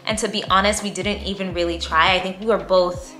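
A woman, chatting in a bubbly, talkative way as she vlogs to camera in a bright room at home. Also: fairly low pitch, steady tone, brisk, reverberant, soft background music. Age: 30s. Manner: bubbly, chatty, talks with her hands. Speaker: lifestyle vlogger. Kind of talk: casual talk to camera.

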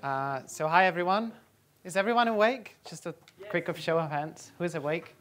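A man's voice: fairly high pitch, animated, moderate pace, a bit bright, faint music behind. A young man from the Czech Republic, a native Czech speaker, giving a conference talk in a lecture hall and quizzing the audience. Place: large lecture hall, on stage.

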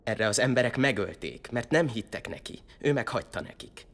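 A man's voice: mid-range voice, expressive, gravelly. An actor playing an earnest, solitary, devout young man in an audio drama, sincere and a little hesitant.

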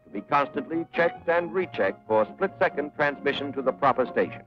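Casting: American male narrator, 1940s promo film, animated delivery, music bed, dull old soundtrack. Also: fairly high pitch, fairly quick. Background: loud background music.

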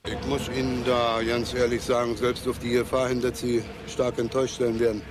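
A man as a halting, self-justifying cameraman, faint noise. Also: medium pitch, flat delivery, close to an everyday voice. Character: self-justifying male thrill-seeking amateur cameraman. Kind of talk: radio play acting as interviewee.

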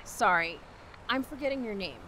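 A woman playing a brisk, no-nonsense animal expert in an audio drama. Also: mid-range voice, very animated, bright, forceful tone, a little hiss or hum, low-quality recording. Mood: businesslike.

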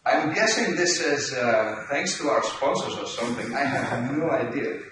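A Dutch man in his forties with a Dutch accent, giving a relaxed, joking conference talk in a large lecture hall. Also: mid-range voice, moderate pace, a little hiss or hum. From Netherlands. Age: 40s. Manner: joking, self-deprecating, chuckles often. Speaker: conference speaker. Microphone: room mic, speaker walking around stage. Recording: echoey hall, voice from far off.

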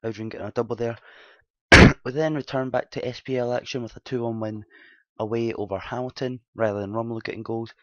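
A man with a slightly hoarse, dry voice casually talking through a football management game, rambling and correcting himself as he recaps results. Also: fairly even intonation, fairly quick, a bit bright, no room sound. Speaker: game commentator. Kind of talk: casual gameplay commentary. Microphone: desktop mic at home, quiet. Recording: dull low-fi mic.

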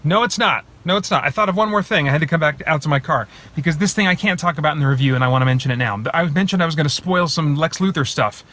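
A man in his thirties talking casually to a phone camera from inside his parked car, thinking aloud with many pauses and restarts. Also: on the high side, sharp tone. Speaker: movie reviewer and channel host. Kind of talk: casual spoiler talk to camera. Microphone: phone camera at arm's length.

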